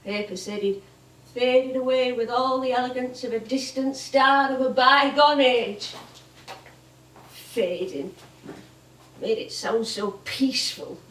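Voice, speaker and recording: Slow-spoken old northern comedienne. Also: female voice, fairly high pitch, a bit bright. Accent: northern English. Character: stubborn, wry old northern music-hall comedienne. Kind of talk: stage drama acting. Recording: echoey theatre, distant mic.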